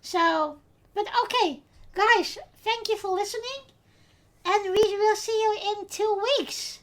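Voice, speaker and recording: A woman's voice: very high-pitched, slow, fairly bright tone. A woman with a Dutch accent, a native Dutch speaker, hosting a casual podcast and talking hesitantly with many filler sounds. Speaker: podcast host.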